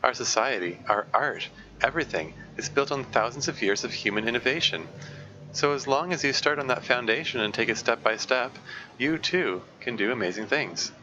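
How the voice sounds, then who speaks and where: A man's voice: mid-range voice, normal speed. A man reading aloud the lines of an upbeat teenage girl club president from a game, giving earnest advice. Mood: encouraging. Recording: muffled lo-fi mic with steady hiss.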